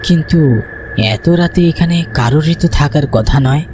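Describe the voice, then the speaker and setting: A man's voice: a little high, moderate pace, a little mellow, slight background noise, close to an everyday voice. A man playing a frightened young villager in a horror story, telling it in suspenseful first person and stammering when he addresses others. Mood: uneasy, suspenseful.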